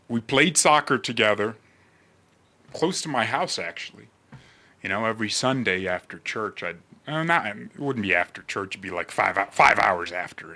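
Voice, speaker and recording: A man rambling casually and comedically to livestream viewers in a brash, mocking, animated manner. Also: mid-range voice.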